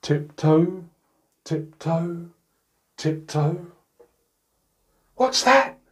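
Middle-aged male storyteller, grandad role, unhurried pace, expressive picture-book reading for small children. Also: on the high side. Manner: expressive, with playful sound words and drawn-out phrases. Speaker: grandad reading bedtime stories. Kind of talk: picture-book read-aloud for young children. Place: indoors in a room at home, by a door.

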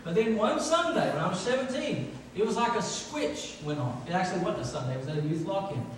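A man's voice: high voice, animated, quick pace. A middle-aged man preaching a sermon, emphatically repeating phrases for stress. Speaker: pastor. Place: large church sanctuary, from the pulpit. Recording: echoey church hall, distant, faint noise.

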